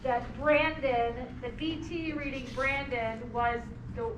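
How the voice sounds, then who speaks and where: A woman's voice: high voice, takes it slowly. A woman narrating stage directions and leading a discussion, encouraging and often repeating her phrases. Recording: noisy echoey room, far from mic.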